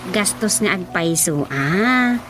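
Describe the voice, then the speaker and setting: A woman's voice: on the low side, lively intonation, slow pace, a bit bright, soft background music. A woman with an Ilocano accent playing a generous grown daughter in a radio drama, coaxing and persuasive.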